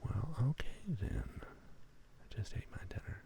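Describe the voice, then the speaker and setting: Deep, slow, whispering gravelly man. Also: huge pitch swings, dark tone. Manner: laid-back, slangy, rambling. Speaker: ASMR livestream host. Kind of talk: whispered ASMR livestream, casual chat with viewers.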